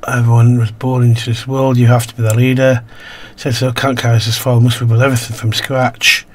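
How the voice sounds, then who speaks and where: A man with a croaky, slightly coughing throat, casually commentating a game, reading on-screen text aloud and muttering to himself as he plays. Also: medium pitch, level tone, a little fast. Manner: mumbles to himself while playing, reads game text aloud. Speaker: gaming video host. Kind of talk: casual let's-play commentary.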